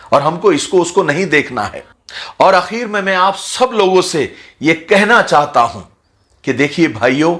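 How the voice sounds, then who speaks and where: A man's voice: fairly high pitch, a bit bright. Earnest man with a raised voice. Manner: measured, appealing, formal vocabulary. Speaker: religious motivational speaker. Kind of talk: earnest scripted address to viewers.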